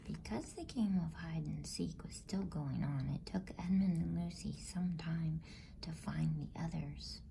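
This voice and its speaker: A woman whispering softly and breathily in a gentle, unhurried ASMR bedtime style. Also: deep voice, creaky, slight background noise. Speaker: host and storybook reader.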